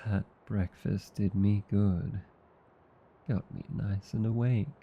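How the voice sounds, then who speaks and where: A man with an Australian accent playing a gentle, reassuring vampire husband in an intimate ASMR roleplay, tender and soothing. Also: fairly even intonation, takes it slowly, lo-fi sound, heavily acted. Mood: content. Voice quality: low, dark, gravelly. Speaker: voice actor.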